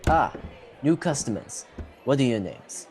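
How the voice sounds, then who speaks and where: Teen actor as a protective fantasy tavern keeper. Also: male voice, medium pitch, very animated, a little slow, a little mellow. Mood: hospitable. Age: teens. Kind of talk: student radio theatre acting.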